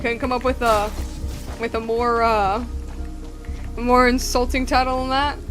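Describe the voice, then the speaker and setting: High-pitched woman commenting on gameplay. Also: little pitch movement, measured pace, a bit bright, soft background music. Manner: thinks aloud in repeated questions. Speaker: game streamer.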